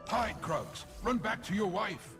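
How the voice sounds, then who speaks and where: A man playing a gentle, whimsical nature spirit in a video game, speaking theatrically and affectionately to forest creatures. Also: sits high, expressive, a little slow, gravelly, music in the background, heavily acted. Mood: urgent, protective. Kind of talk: video game character voice lines.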